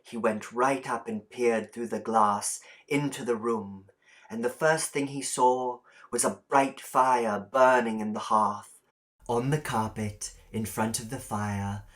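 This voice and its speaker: A man reading a short story as an audiobook, in a deliberate, expressive storytelling delivery. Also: lowish voice. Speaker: audiobook reader. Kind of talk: scripted storybook narration.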